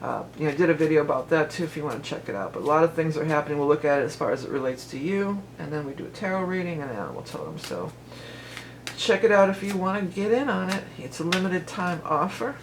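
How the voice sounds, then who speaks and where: A woman's voice: lively intonation, quick pace, a little hiss or hum, poor audio. Rambling, chatty woman tarot reader. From Cleveland, Ohio. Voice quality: lower voice than she once had. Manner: rambling, chatty, many fillers and restarts. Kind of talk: casual tarot reading vlog.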